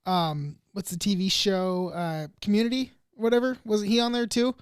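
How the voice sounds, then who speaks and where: A man's voice: sits high, fairly even intonation, moderate pace, clear, resonant tone, no room sound, studio quality. A man bantering crudely with friends on a podcast, swearing freely and cracking jokes. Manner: crude, profane, joking. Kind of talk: casual banter.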